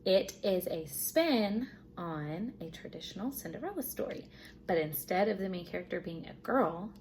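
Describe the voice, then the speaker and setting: A woman teacher reading a children's storybook aloud in an expressive narrating style. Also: lowish voice, moderate pace, a little hiss or hum.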